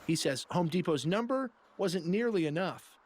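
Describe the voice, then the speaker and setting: A man's voice: sits high, animated, normal speed. A man reporting as a TV investigative journalist, reading scripted narration for a news package.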